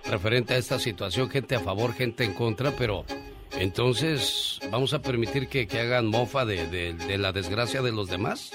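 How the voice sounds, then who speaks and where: A man's voice: fairly low pitch, moderate pace, over music. A man hosting a radio show who chats casually and conversationally with his co-host.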